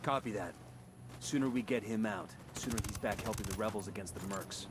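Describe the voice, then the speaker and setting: An actor playing a grim, blunt special forces squad leader with terse, commanding delivery in a game cutscene. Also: male voice, lowish voice, a little fast, background noise, natural voice. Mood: businesslike. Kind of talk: video game cutscene acting.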